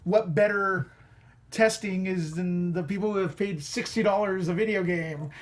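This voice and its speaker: A man's voice: high-pitched, moderate pace. A man speaking as a panelist on a film show, sharing opinions in casual conversation.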